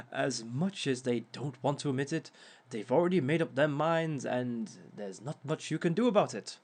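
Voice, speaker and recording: Guy doing a smug villain giving love tips, slow and wry, keeps chuckling. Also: medium pitch. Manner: wry, chuckles often at his own advice; mock-sympathetic teasing. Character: smug male villain lecturing on romance. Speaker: villain relationship expert. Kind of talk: audio roleplay monologue.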